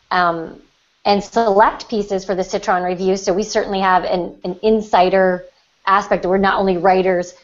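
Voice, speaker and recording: A woman's voice: mid-range voice, lo-fi sound. A woman chatting casually on a podcast as a writing teacher, thinking aloud as she explains. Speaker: writer, writing teacher and magazine editor. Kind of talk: casual chat.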